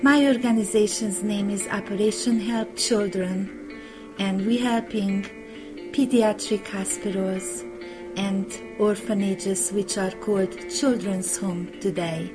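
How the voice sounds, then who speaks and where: A woman with a Romanian accent speaks slowly and carefully, her grammar showing she learned the language later. She makes a plain appeal for her charity. Guitar music plays clearly beneath her voice. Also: mid-range voice, steady tone, rough recording. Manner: slow, careful, non-native grammar. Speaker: charity founder making an appeal. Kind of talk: scripted donation appeal. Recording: music playing under the voice.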